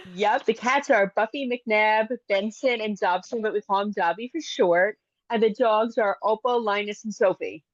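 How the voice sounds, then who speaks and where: A woman hosts an interview, asking questions and reacting with brief, friendly words. Her mid-pitched voice comes through dry with no room sound. The recording is slightly dull and muffled. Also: normal speed, dry recording. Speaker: interviewer host. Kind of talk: casual interview chat.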